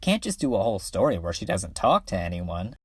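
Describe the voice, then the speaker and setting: A man's voice: low-pitched, big pitch swings, brisk, breathy edge, no room sound, studio quality, heavily acted. A man voicing a slangy, enthusiastic geek who rambles about fanfiction in a webcomic fan dub. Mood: eager. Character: casual slangy geek excitedly telling and discussing fanfiction. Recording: music under the voice.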